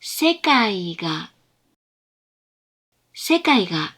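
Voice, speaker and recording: A woman reading short pronunciation examples for a lesson, repeating them with deliberate, careful enunciation. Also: medium pitch, big pitch swings, a bit bright. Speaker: native-speaker example reader in a lesson.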